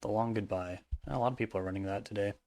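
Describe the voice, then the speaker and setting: Low-voiced man thinking aloud over gameplay. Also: fast, a little mellow. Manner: thinking aloud, mumbled asides. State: voice hoarse and cracking. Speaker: card game player and commentator. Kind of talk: casual gameplay commentary.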